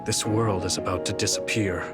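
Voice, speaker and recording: An actor playing a weary, blind old retired hero in a video game, speaking gravely and slowly measured, full of regret. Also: male voice, fairly low pitch, fairly even intonation, over loud music, lightly acted. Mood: grave, remorseful. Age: older. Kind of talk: dramatic video game voice acting.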